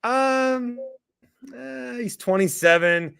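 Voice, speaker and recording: A man's voice: very high-pitched, slow, gravelly, fairly bright tone, a little hiss or hum. A man hosting a casual sports podcast, easygoing and jokey as he chats with a guest. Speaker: podcast host.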